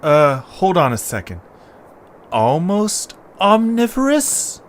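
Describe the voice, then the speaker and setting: A man's voice: high-pitched, very animated, takes it slowly, heavily acted. A man voicing a loyal, reassuring young adventurer in an audio drama. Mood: puzzled. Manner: friendly, reassuring. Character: loyal, easygoing, helpful young male adventurer. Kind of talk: cartoonish audio drama acting. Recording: faint background noise.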